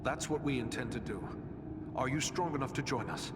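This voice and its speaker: A man playing a steadfast, resolute ranger tracker in a fantasy video game, formal, earnest and deliberate. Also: mid-range voice, heavy background noise, close to an everyday voice. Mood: concerned.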